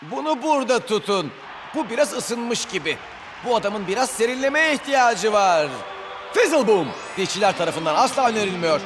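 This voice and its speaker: A man's voice: high voice, expressive, normal speed. A man voicing a flamboyant, eager, goofy male footballer playing salesman in a cartoon dub. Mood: improvising. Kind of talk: cartoon dubbing, mock advertising pitch. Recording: music behind.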